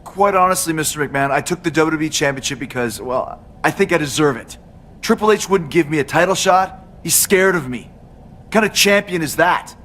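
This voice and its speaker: Guy acting as a smug sarcastic wrestler dude for a game, voice a bit high, noisy in the back. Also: a little fast, fairly bright tone, close to an everyday voice. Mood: smug, placating. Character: cocky, self-entitled male pro wrestler, scheming and sarcastic. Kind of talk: scripted video game cutscene acting.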